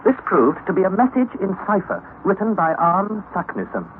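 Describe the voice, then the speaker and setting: A young narrator in a tinny old radio play. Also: male voice, high-pitched, big pitch swings, moderate pace, slight background noise. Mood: recalling. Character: young male student recalling an adventure.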